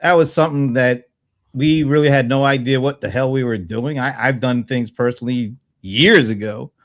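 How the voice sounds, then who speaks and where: A man in his fifties in casual panel chat, thinking aloud in a halting way and repeating words. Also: medium pitch, fairly even intonation. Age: 50s. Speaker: panelist on a small business show.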